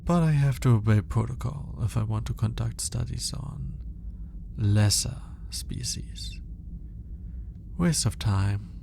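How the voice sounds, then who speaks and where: A man playing a cold, condescending alien scientist in an ASMR roleplay, speaking in a clinical, formal, deliberate way. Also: fairly low pitch, vocal fry, mellow, a little hiss or hum. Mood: dismissive, bored. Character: cold, aloof male alien scientist studying a human captive.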